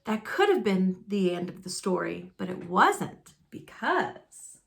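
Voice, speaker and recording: A woman reading a children's storybook aloud expressively and deliberately, with dramatic pauses. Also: on the low side, very animated, creaky. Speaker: storybook reader.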